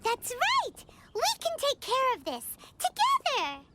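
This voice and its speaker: A woman voicing a tiny, childlike female companion creature in a video game, squeaky, eager and cheerful. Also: huge pitch swings, takes it slowly, bright, forceful tone, slight background noise, exaggerated voice. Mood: excited, determined. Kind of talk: video game character acting. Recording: game music behind the voice.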